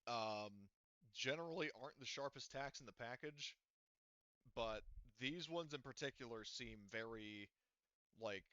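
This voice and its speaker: A man's voice: medium pitch, measured pace, bright, forceful tone, clean tone, dry recording. A man running a tabletop game as game master, rambling loosely and swearing casually over a dull lo-fi mic.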